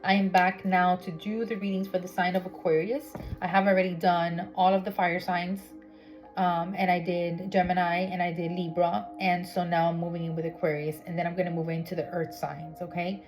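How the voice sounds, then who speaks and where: A woman's voice: on the low side, little pitch movement, moderate pace, a little mellow. A woman from Miami doing a tarot reading in a chatty way, checking in with "okay?" often. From Miami, United States. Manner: chatty, with many fillers and "okay?" check-ins. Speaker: tarot reader. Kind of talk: casual spiritual reading vlog. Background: background music.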